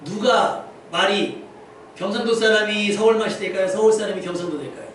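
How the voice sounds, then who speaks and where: A high male voice rings through a heavily echoing church, caught at a distance from the mic. He is a pastor delivering a sermon, asking the congregation questions and repeating key phrases to drive them home. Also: steady tone, a little fast, a bit bright. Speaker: pastor preaching. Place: indoor church service. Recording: very echoey church hall, distant mic, faint noise.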